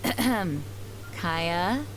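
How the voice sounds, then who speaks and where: A woman's voice: fairly low pitch, lively intonation, drawn-out words, low-quality recording. A woman voicing a poised, kindly young knight commander in a video game, polite and composed. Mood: polite, serious.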